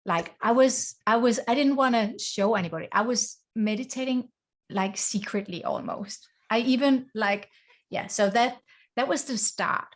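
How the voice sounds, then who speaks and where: A woman's voice: a little high, normal speed. Earnest woman. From Denmark. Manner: earnest, reflective, speaks in short phrases. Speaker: guest, life coach. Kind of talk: conversational interview. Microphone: video call.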